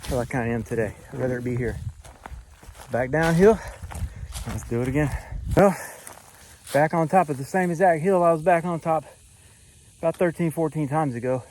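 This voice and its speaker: Man, hiking vlogger, breathless, slightly hoarse, talks casually to a handheld camera, faint outdoor noise behind. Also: fairly high pitch, moderate pace, pauses often, a little mellow. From Florida. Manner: breathing hard, winded while hiking uphill. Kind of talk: casual vlog narration to camera. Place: outdoors on a forest hiking trail.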